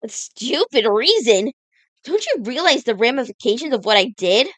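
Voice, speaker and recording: A woman's voice: on the high side, sing-song, moderate pace, no room sound. Woman acting an earnest, guilt-ridden teen girl. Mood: defensive, upset. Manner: sincere, defensive, dramatic. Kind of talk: comic dub acting.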